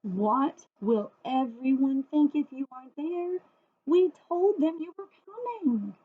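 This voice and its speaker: A woman's voice: high voice, animated, takes it slowly, voice pushed up. A woman voicing a patient but firm man in a storybook, coaxing with emphasis. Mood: cheerful reassurance. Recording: phone-like, muffled thin audio.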